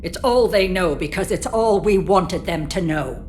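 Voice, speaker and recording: Woman acting a haughty low-voiced alien stateswoman. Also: a little slow, a little mellow, a little hiss or hum, close to an everyday voice. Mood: haughty, scornful. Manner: imperious, disdainful. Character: haughty, bitter alien female political leader. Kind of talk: cinematic video game acting. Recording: dramatic game music behind.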